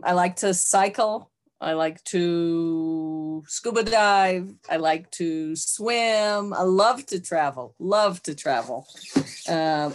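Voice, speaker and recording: An older woman with an American accent, a psychologist talking about herself as a guest in a casual interview. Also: lowish voice. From United States.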